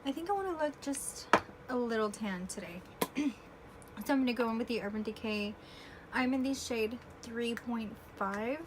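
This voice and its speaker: A woman in her twenties chatting casually through a makeup tutorial, self-deprecating and often clearing her throat, recorded on her phone at home. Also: fairly high pitch, normal speed, noisy background. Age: 20s. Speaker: beauty vlogger. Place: home room with air conditioner. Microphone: phone camera.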